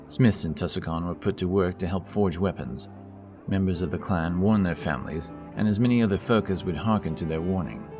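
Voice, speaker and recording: A man with a deep, low voice reads a scripted fantasy tale as its narrator. Soft music plays underneath him throughout. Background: background music.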